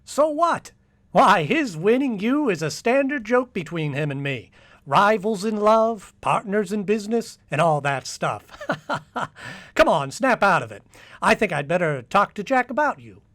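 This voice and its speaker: Radio drama confidant male actor: dismissive, soothing, patronising man with highly varied intonation. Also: sing-song, measured pace, fairly bright tone, exaggerated voice. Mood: amused, dismissive. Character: dismissive, amused male friend and former rival in love. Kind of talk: old-time radio drama acting.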